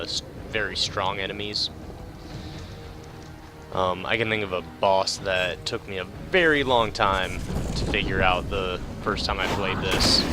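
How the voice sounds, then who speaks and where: A man hosting a let's play, giving casual gameplay commentary. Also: lowish voice.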